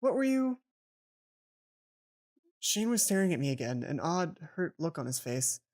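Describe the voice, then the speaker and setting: A woman reading a visual novel aloud for a let's play, expressive, with casual asides of her own. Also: low voice, fairly quick. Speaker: let's player.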